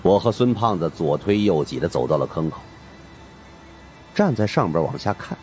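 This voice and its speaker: A man's voice: low voice, animated, moderate pace, a little mellow, faint music behind. A man narrating a horror story in a suspenseful, theatrical way. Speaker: audiobook narrator.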